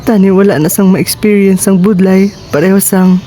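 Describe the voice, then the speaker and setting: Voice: mid-range voice, steady tone, moderate pace, mellow, close to an everyday voice. A performer with a Filipino accent acting a grateful, humble young aspiring model in an amateur radio drama. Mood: grateful, moved.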